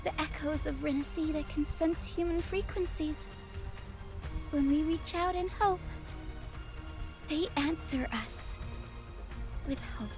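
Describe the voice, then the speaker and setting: A high, girlish female voice for a gentle young game heroine. She is hopeful and earnest, with music playing behind her. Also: slow, long pauses. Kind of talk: dramatic fantasy game acting.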